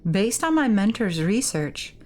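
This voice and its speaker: Lady doing a calm solemn advisor girl in a game, formal, measured, medium pitch. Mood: composed. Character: composed, solemn, knowing young female advisor. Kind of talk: dramatic read-aloud of game dialogue.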